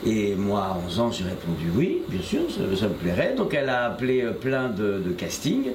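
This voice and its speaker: A man's voice: on the low side, wildly swooping pitch, moderate pace, darkish tone. An older man, a voice actor, answering interview questions about his career in a hesitant way, with many fillers and restarts. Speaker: voice actor guest. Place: shop interview, echoey room. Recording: echoey room with noise.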